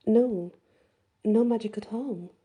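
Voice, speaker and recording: A woman voicing a gentle teenage girl's summoned soul in a fantasy story. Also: a little high, takes it slowly, breathy edge, pitched above the performer's own voice. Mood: earnest, puzzled. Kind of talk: fantasy audiobook dialogue.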